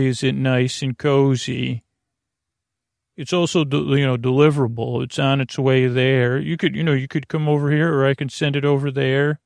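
A man hosts a bedtime podcast in a gravelly, creaking voice of medium pitch. He drifts through soothing, halting digressions, often tripping over his words. The sound is dry, with no room around it. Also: a little mellow, no echo. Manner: soothing, lulling. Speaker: podcast host. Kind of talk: rambling bedtime monologue to help listeners sleep.

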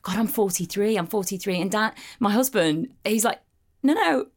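A woman's voice: mid-range voice, animated, normal speed. A woman, co-hosting a podcast in casual, self-deprecating chat with many restarts. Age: 40s. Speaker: podcast co-host. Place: a home, indoors.

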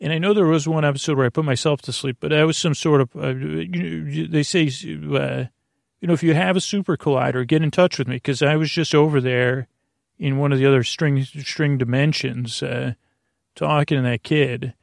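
Creaky-voiced man rambling in a dry room. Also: a little high, steady tone, normal speed, no room sound. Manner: meandering, stammering, trailing off into tangents; whimsical, goofy. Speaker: podcast host. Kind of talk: rambling bedtime podcast monologue.

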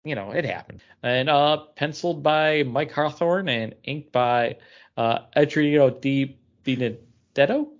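A man's voice: fairly high pitch, animated, a little slow. A man chatting casually as a podcast co-host, stumbling along in a self-mocking way. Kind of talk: casual chat about comics and beer. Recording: dull, lo-fi audio.

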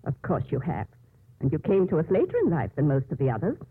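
An actress playing a kindly, wise Mother Superior, speaking in a measured, formal way in 1950s radio drama style. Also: low voice, sing-song, husky, full character voice. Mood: consoling. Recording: dull, muffled 1950s radio recording.

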